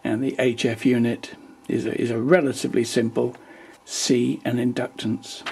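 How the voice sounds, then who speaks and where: An older man talking casually and technically about his home loudspeakers, with wry, plain-spoken asides, recorded on a camera's built-in microphone in a living room. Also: mid-range voice, flat delivery, normal speed, gravelly, breathy edge. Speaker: hobbyist reviewer.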